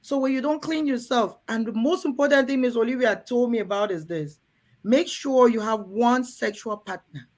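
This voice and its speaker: A woman's voice: a little high, normal speed. A woman from Cameroon hosting a frank talk to women in a room, earnest and coaxing, often turning to the ladies in her audience. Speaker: host.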